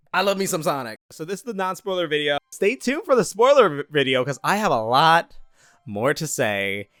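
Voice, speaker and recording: A man's voice: medium pitch, a bit bright, smooth, dry recording, studio quality. A man giving a casual video review, talking straight to viewers with exaggerated emphasis and playful, slangy asides. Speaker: YouTube movie reviewer.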